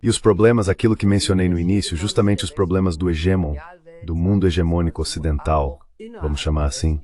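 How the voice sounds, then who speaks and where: Deep-voiced male interpreter voice-over. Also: fairly even intonation, quick pace, dark tone. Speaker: voice-over interpreter for a panel guest. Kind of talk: translated voice-over of a panel discussion.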